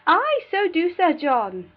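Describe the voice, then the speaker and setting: A woman voicing a nosy, gossipy older matron who teases and pries in an excitable way. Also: very high-pitched, slow, narrow, tinny audio, pitched above the performer's own voice, exaggerated voice. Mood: teasing, prying. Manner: prying, teasing, excitable.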